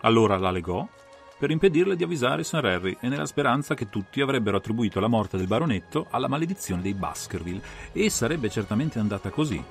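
A man's voice: medium pitch, expressive, fast talker, creaky, soft background music. A man playing a composed, analytical detective who lays out a case with assured, methodical, theatrical phrasing in a dramatised audiobook. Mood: grave, explanatory.

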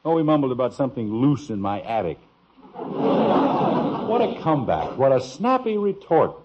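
An American actor playing an excitable, proud middle-aged father in a 1950s radio sitcom, with a blustery, animated manner. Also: mid-range voice, normal speed. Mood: smug. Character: excitable, proud suburban father, easily indignant. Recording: dull, husky-sounding old radio recording.

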